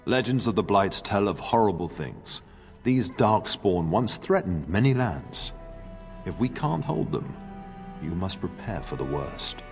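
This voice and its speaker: An actor playing a middle-aged noble lord and father in a video game, fond but grave, speaking in a formal, measured way. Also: low voice, big pitch swings, exaggerated voice. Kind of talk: video game cutscene acting. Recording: long reverb, music underneath.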